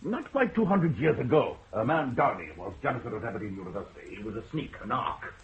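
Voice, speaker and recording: A man plays a middle-aged widowed country doctor, proud and a little stubborn, who speaks with measured authority and an insistent hospitality. His voice swings through a lively, wide pitch range. It comes through a muffled old radio broadcast with a faint hiss behind it. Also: close to an everyday voice. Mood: guarded. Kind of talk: 1960s radio drama acting.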